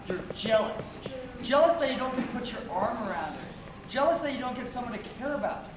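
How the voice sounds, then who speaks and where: A man's voice: very high voice, animated, fast. Casting: male actor as smug young rival who taunts, stage drama; very echoey hall, noisy capture. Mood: smug, taunting. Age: young adult. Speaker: stage actor in a one-act play. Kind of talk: amateur stage acting, projected dialogue. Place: theater stage. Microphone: distant audience camera.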